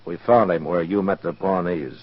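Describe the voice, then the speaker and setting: An actor playing a stern, hard-nosed U.S. Marshal in a 1950s radio western, with an American accent and a terse, commanding manner. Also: male voice, deep voice, moderate pace, slightly rough. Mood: grim, menacing. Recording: dull, muffled 1950s radio recording.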